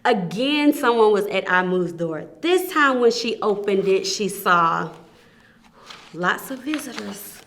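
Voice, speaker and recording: A woman reading a children's picture book aloud as a storyteller, emphasising phrases expressively and deliberately. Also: medium pitch, lively intonation, a bit bright. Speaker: volunteer children's story reader.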